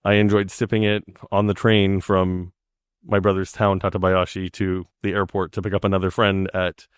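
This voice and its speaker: A man's voice: low voice, flat delivery, moderate pace, clean tone, dry recording, studio quality. A man in his forties co-hosting a podcast, telling stories in a chatty way with frequent ums. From Canada. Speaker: podcast co-host. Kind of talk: casual chat.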